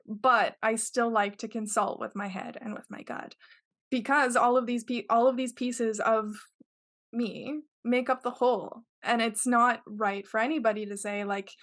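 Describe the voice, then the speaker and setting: A woman's voice: a little high, a bit bright. A woman talking in a casual interview, earnest and rambling, with lots of filler words. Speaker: podcast guest.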